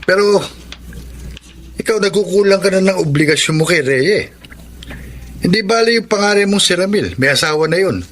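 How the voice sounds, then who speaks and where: A man's voice: high-pitched, animated, moderate pace, pauses often, fairly bright tone. A man playing a stern, disapproving middle-aged older brother who scolds insistently in a radio drama. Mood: stern scolding. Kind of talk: Tagalog radio drama acting.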